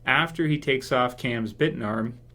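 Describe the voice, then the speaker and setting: Casual man thinking out loud. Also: medium pitch, normal speed. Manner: hesitant, thinking aloud. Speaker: YouTube video host. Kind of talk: casual fan commentary and speculation.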